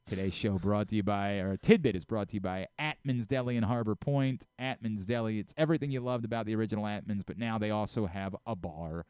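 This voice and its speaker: A man's voice: lowish voice, fast talker, hardly any pauses, a little mellow, clean tone, dry recording. A man with an American accent hosting a sports radio show, bantering and teasing casually, interrupting and repeating himself, on a close studio microphone. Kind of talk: casual sports banter with live sponsor reads.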